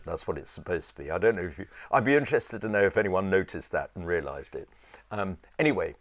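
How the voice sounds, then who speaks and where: A man with a low voice teaching a choir in an informal, rambling and halting conversational way. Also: expressive, over music. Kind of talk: informal instructional talk with sung demonstrations.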